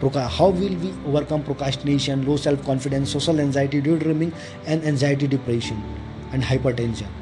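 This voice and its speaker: A man from India with an Indian accent and Hindi as his first language gives an informal motivational self-help monologue as a podcast host, repeating and listing his points in halting English. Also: mid-range voice. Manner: repetitive, emphatic listing of points; halting, non-fluent English. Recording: music playing behind the voice. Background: clear background music.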